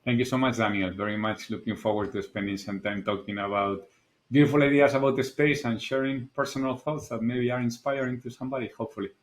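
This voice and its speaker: A man's voice: mid-range voice, steady tone, fast talker. A middle-aged Spanish man with a Spanish accent, speaking as a guest in a casual podcast interview, earnest and thoughtful, sometimes repeating words as he searches for them. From Spain. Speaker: guest, architect and designer.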